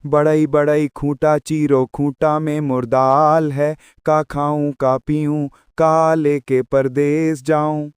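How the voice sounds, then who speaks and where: A man voicing a tiny, plucky mother bird in a storybook folk tale, pleading in sing-song rhymes with drawn-out endings. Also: a little high, flat delivery, smooth, no echo, studio quality.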